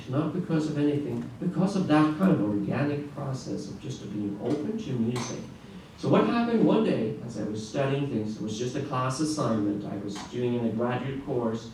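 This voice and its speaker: A middle-aged man from Norway giving a conference talk as a classical guitarist, telling stories in an animated way with frequent restarts and "right?" asides. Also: mid-range voice. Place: large echoey conference room. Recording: echoey room, distant mic, faint noise.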